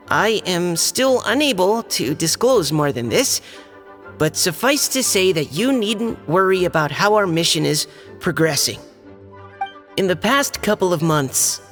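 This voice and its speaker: A man's voice: high-pitched, fairly bright tone. An actor playing a shy, nervous young male surveyor in an anime game, reading aloud hesitantly and politely. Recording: long reverb with background music.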